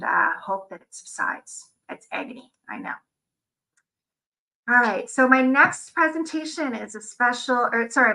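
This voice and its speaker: A woman talks through her slides at a conference, open and personal about her own experience. Her voice is bright and edged, with a lot of gravelly fry at the ends of phrases, and her pitch sits in an ordinary middle range. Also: poor audio. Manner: candid and personal. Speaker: conference presenter. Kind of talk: live slide presentation.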